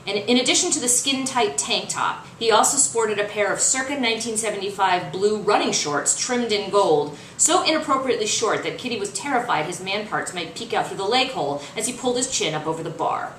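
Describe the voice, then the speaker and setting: A woman reading fiction aloud as its author, in expressive, dramatic narration. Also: mid-range voice, fairly quick, hardly any pauses. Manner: dramatic, acts out dialogue. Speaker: author reading their own novel. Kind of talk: expressive audiobook-style narration. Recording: roomy, distant mic, faint noise.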